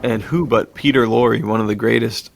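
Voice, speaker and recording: A man's voice: medium pitch, steady tone, moderate pace, gravelly, background noise. A man co-hosting a podcast, retelling film plots in a casual, enthusiastic and slangy way. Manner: enthusiastic, chuckles as he talks, slangy. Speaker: podcast co-host.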